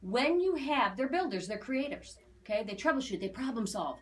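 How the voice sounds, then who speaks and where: An American woman explaining an aura reading to clients in a casual, emphatic, animated way, repeating phrases for emphasis. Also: on the high side, normal speed. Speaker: aura reader.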